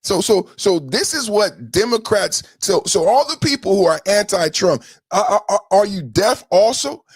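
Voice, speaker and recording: A man's voice: high voice, very animated, normal speed, hardly any pauses, slightly rough, dry recording. An American man giving heated, profane political commentary as a podcast host, repeating himself emphatically. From San Francisco, United States.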